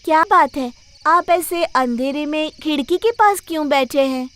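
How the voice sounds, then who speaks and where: Woman playing a high-voiced village wife. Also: measured pace, fairly bright tone. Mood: concerned. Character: gentle, attentive village wife. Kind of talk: cartoon story acting.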